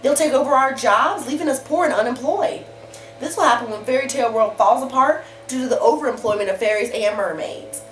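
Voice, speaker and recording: A young woman acts out a scornful, alarmist female candidate at a student-video debate. Her voice is fairly high and forceful, with blunt, folksy phrasing. The sound is roomy and echoing. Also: fairly quick, faint music behind, close to an everyday voice. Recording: roomy echo, noticeable background noise.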